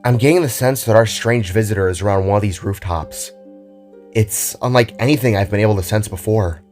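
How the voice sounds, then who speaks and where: A confident, cocky young girl hero from an audio drama, acted out by a man. The voice is low and casual, with music playing behind it. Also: a little fast, darkish tone, close to an everyday voice.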